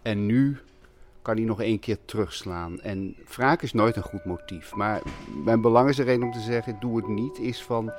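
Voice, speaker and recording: A man talking reflectively and deliberately as a documentary interviewee, searching for words. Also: lowish voice. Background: faint music.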